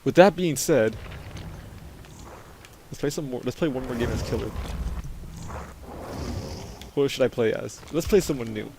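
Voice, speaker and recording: A man with a deep voice he pushes louder, giving excitable, teasing commentary while playing a game. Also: measured pace, vocal fry, pauses often, background noise. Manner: excitable, loud, teasing teammates. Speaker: gamer. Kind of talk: casual gaming commentary.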